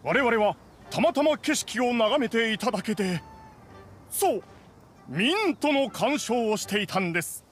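A man's voice: sits high, lively intonation, measured pace, long pauses, fairly bright tone, soft background music. A man voicing an ancient, sheepish immortal in a game, speaking in a stiff, formal, explanatory way. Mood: evasive, flustered. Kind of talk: dramatic game voice acting.

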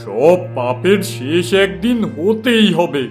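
Casting: stern fairy-tale holy man, declamatory, slow delivery over music. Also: high voice, very animated, exaggerated voice. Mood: stern righteousness. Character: righteous holy man, stern exposer of evil. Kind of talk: cartoon fairy-tale voice acting. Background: background music.

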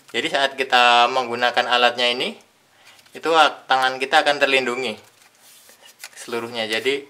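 A young man calmly explaining a tool step by step in an unboxing demonstration. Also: mid-range voice. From Indonesia. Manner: plain step-by-step explaining with pauses. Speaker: product reviewer. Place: indoors at a tabletop.

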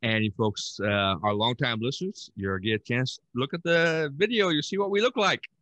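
Bright-voiced older man hosting a podcast. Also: mid-range voice, normal speed, muffled recording. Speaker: podcast host. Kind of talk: casual podcast talk. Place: room at home. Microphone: headset on a video call.

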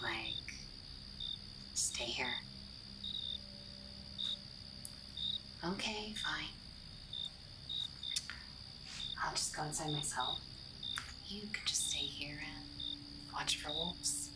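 A woman's voice: low voice, recorded from a distance, very noisy. A woman voicing a playful, daring young explorer in a whispered, teasing ASMR roleplay. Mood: playful, coaxing.

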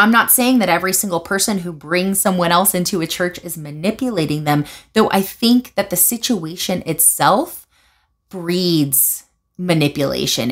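Young woman on her couch talking to camera, kinda low voice, animated, lots of ums and restarts. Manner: animated, gestures with hands while explaining; thoughtful with frequent fillers and restarts. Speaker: video essayist. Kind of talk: casual commentary vlog. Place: living room, seated on a couch indoors.

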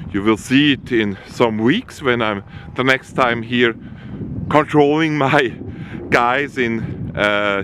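A man, founder of a plant nursery, giving a walking tour in halting with many pauses. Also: medium pitch, background noise. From Switzerland. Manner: halting non-native English with frequent uh pauses. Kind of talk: casual walking tour narration. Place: outdoors at a nursery, cold wind. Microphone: camera mic, walking behind the camera.

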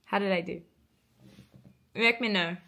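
A woman's voice: mid-range voice, unhurried, vocal fry, pushed and bright. A young Australian woman talking casually to camera as a vlogger, bubbly and earnest, laughing often. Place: bright living room indoors.

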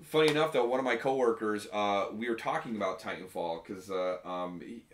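A man's voice: fairly low pitch, a little fast. A man co-hosting a gaming talk show, chatting casually and telling stories in an informal way. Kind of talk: casual chat about video games. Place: small room lined with display cases, indoors. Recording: roomy echo.

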